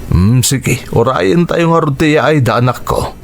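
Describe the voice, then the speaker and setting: A man's voice: a little high, a little slow, gravelly, slight background noise. A man with a Filipino accent playing a kindly, hardworking pig-farmer father in an Ilocano radio drama. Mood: fatherly, encouraging.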